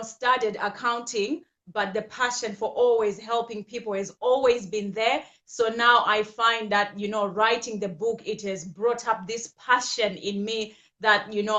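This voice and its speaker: A woman's voice: medium pitch, a bit bright, highs cut off. A woman, a guest author answering interview questions in an earnest way. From Zimbabwe. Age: 30s. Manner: earnest, unhurried, pauses with fillers.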